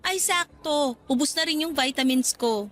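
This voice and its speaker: A woman's voice: high-pitched, normal speed, natural voice. An actress playing a sulky, jealous wife in a radio drama, accusing and defensive as she bickers. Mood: casual. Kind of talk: Tagalog radio drama acting.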